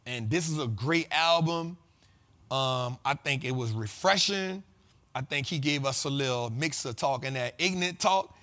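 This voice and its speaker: A man's voice: medium pitch, moderate pace, pushed and bright. A man hosting a finance talk show, speaking in a casual, slangy way and building up repeated lines like a preacher. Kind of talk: casual motivational monologue.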